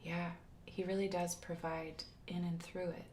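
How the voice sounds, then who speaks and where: A woman, a new mother and guest, chatting softly and supportively, often dropping to a near whisper. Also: on the low side, flat delivery, measured pace, a little hiss or hum. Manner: soft-spoken, often drops to a whisper, affirming. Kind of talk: casual chat.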